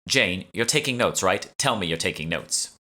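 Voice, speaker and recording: A man's voice: sits low, expressive, normal speed, bright, forceful tone, heavily acted. A man voicing an imperious, condescending young woman who plays a breezy heiress in an audiobook. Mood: bossy. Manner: breezy, demanding, haughty. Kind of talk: audiobook character dialogue.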